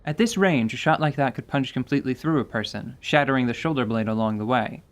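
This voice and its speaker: A man's voice: mid-range voice, fast, highs cut off. A man giving dramatic, scripted narration for a fantasy radio play. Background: music.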